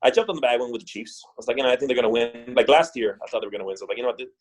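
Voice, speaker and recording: A man's voice: mid-range voice, racing. A man from Puerto Rico, a wrestler guest on a podcast, chatting casually and often repeating words as he thinks.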